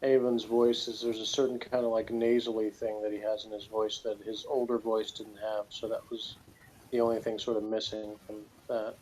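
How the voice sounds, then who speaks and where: A man's voice: on the low side, completely flat delivery, normal speed, a little mellow. A man co-hosting a casual review chat over an unstable, noisy online call. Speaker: co-host.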